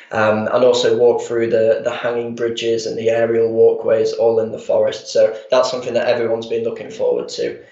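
A man's voice: mid-range voice, a little mellow, reverberant, lo-fi sound. A man with a Yorkshire accent, a tour manager describing a trip steadily in a casual interview, with some fillers. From Harrogate, Yorkshire, England.

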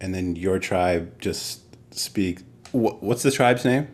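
A man's voice: low voice, takes it slowly. A young man hosting a casual podcast, joking and laughing often. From United States. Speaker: podcast host.

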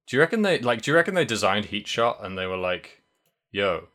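A man's voice: on the low side, sing-song, brisk, fairly bright tone. A man co-hosting a podcast, chatting casually about video games and rambling through lots of restarts. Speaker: podcast co-host.

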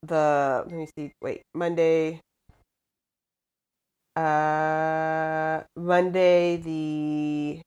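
A young woman from Puerto Rico talks as a guest on a casual podcast, her voice sitting a little low. She rambles and restarts, leaning on "like" and "um" as she thinks aloud. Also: flat delivery, drawn-out words, pauses often, darkish tone, smooth, muffled recording. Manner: rambling, hesitant, full of "like" and "um". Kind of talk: casual chat over a call.

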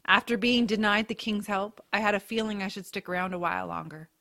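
Woman acting serious wolf shapeshifter lady, mid pitch, earnest formal talk, fantasy audio drama. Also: steady tone, brisk, bright, forceful tone. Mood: earnest, solemn. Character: earnest, solemn female shapeshifting wolf warrior of a tribe.

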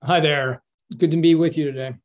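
A middle-aged man from Long Island, a podcast guest, telling his story in a relaxed way with many ums and restarts. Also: on the high side, a little fast. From Long Island, New York. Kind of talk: casual interview storytelling.

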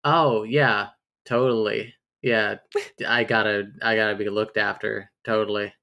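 Woman doing a gruff, blunt young man. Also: extremely low pitch, fairly even intonation, a little slow, a bit bright, heavily acted. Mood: eager. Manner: blunt, then overly eager agreement. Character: gruff, oblivious young man who plays along.

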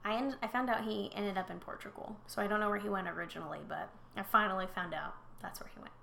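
A young woman co-hosts a casual true-crime podcast and speaks bluntly, throwing in swears and quick jokes. Her voice carries a gravelly fry. A faint hum sits under the recording. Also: medium pitch, a bit bright. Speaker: podcast co-host.

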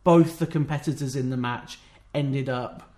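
A man's voice: mid-range voice, steady tone, normal speed, darkish tone. Wry British man in an echoey room. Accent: British English. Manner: wry, rambling, jokey asides. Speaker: podcast co-host. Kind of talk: casual wrestling chat. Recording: roomy echo.

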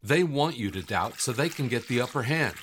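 A man playing a steady, reassuring guide and mentor in a biblical audio drama. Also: medium pitch, fairly quick, slight background noise, close to an everyday voice. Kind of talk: full-cast audio drama acting.

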